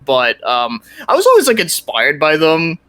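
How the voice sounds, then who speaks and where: A man's voice: a little high, huge pitch swings, moderate pace, sharp tone, no echo. A man chatting casually as a podcast guest, rambling with lots of "like" and some swearing. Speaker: guest, creator of a fan parody series.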